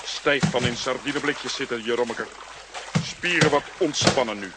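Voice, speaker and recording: A man's voice: medium pitch, moderate pace, very noisy, natural voice. An actor playing a laconic, easygoing strongman in a children's audio drama, speaking in clipped telegraphic phrases. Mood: nonchalant.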